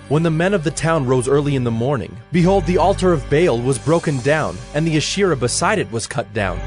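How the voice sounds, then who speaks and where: Male narrator, medium pitch, measured scripture storytelling, soft music underneath.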